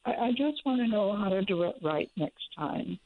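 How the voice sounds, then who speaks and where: A woman calling in to describe a bladder infection, speaking carefully and haltingly, with a hoarse voice. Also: mid-range voice, animated. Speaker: caller. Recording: phone line.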